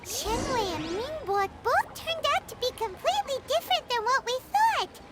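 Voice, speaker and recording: A woman voicing a tiny floating fairy companion who is chirpy and excitable and talks about herself in the third person, in a video game. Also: extremely high pitch, normal speed, exaggerated voice. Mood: curious. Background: background game music.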